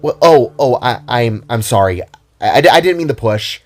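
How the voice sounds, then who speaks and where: A man voicing a goofy, excitable, chatty young girl adventurer with playful, rambling speech in an audio drama. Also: medium pitch, moderate pace, faint music behind, close to an everyday voice. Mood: embarrassed. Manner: playful, sarcastic, rambling with false starts.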